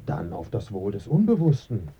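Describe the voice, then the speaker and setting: A man's voice: fairly low pitch, wildly swooping pitch, normal speed, mellow, breathy edge, lo-fi sound, soft background music, heavily acted. A man acting in a German radio play as a dead writer, a bantering, evasive, pleasure-loving friend who savours his words. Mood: wry, expansive.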